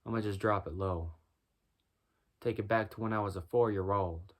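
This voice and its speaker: A man with a deep voice doing a rhyming spoken-word freestyle, musing and preaching as he goes. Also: fairly even intonation, normal speed. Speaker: freestyle musician.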